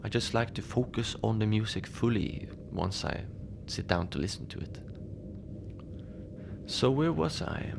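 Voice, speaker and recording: A man acts out a lone polar night-shift manager in a cozy fiction podcast. The voice is low and creaky, with clear background noise. He rambles mildly and haltingly, casual and unbothered, as if confiding late at night. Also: expressive. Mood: apologetic, then musing, mild. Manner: halting, rambling, understated, apologetic. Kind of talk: cozy audio drama monologue, casual and confiding.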